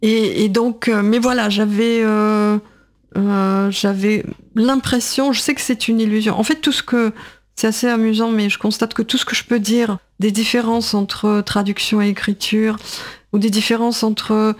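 A woman's voice: mid-range voice, little pitch movement, normal speed. Thoughtful woman in her sixties, hesitant. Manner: thoughtful, hesitant, many fillers and restarts. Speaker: guest, translator and writer. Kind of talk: radio interview. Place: radio studio.

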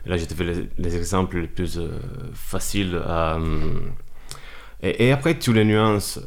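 A man's voice: low voice, moderate pace. A man whose first language is Italian, a musician guest speaking hesitantly and searching for words in an interview. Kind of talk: casual interview talk.

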